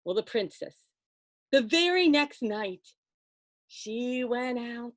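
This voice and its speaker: A woman storyteller telling a folktale aloud to listeners, expressive and conversational, with dramatic pauses and asides. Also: fairly high pitch, no room sound, studio quality.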